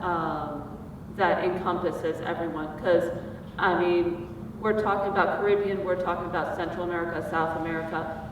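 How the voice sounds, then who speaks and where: A woman writer from Puerto Rico speaking thoughtfully on a panel, with many pauses and fillers. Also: fairly low pitch, recorded from a distance. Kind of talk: panel discussion remarks. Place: conference hall. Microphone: far from the mic. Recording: echoey hall recorded from afar, noisy.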